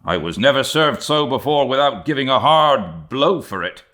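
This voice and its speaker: Man acting a puzzled, excitable sailor. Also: medium pitch, moderate pace, voice pushed up. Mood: indignant. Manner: muttering, colloquial, excitable. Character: indignant, bewildered, humorous sailor, second mate.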